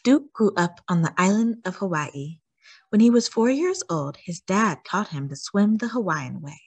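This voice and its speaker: A woman reading a children's storybook aloud as a storytime host, with expressive, emphatic delivery. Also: medium pitch, husky, no room sound. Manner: animated, emphatic delivery with drawn-out words.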